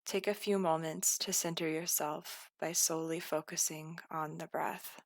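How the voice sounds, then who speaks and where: A woman guiding a scripted meditation in a calm, soothing, deliberate manner. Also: sits low, no echo. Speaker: meditation guide.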